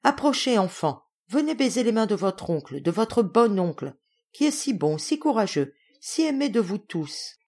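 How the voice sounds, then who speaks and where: A woman's voice: mid-range voice, big pitch swings, moderate pace, a little mellow, pitched above the performer's own voice. A woman voicing a domineering middle-aged noblewoman, imperious and effusive, in a storybook reading. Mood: bossy. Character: domineering, gushing middle-aged Russian noblewoman, scolding and flattering.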